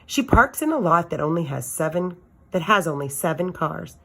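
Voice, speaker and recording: A woman with a slightly low voice reads a children's novel aloud. She narrates like a teacher sharing a story with students, giving it expression. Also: sing-song, moderate pace, a bit bright, breathy edge.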